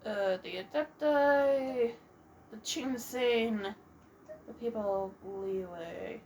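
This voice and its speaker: A woman's voice: fairly high pitch, extremely slow, darkish tone, a little hiss or hum. A woman giving casual let's play commentary, thinking aloud in a hesitant way.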